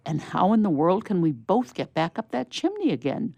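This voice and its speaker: A kindly old storybook Santa, voiced by a woman reader, puzzled and full of exclamations. The voice is low and mellow with some creak. The manner is avuncular. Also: big pitch swings, moderate pace, no echo, heavily acted. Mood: surprised, worried.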